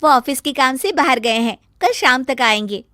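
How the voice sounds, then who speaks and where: A woman voicing a sweet, polite young daughter-in-law in an animated story. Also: moderate pace, dry recording, clean studio sound. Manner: high, eager, polite.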